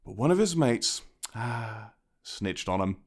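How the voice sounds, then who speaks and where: A man's voice: lowish voice, sing-song, slow, slightly rough, heavily acted. A man playing a menacing, mocking British crime boss in an audio roleplay, with a taunting, conversational way of threatening. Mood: taunting menace. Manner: taunting, theatrical, conversational threats with sardonic chuckles. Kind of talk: dramatic audio roleplay monologue.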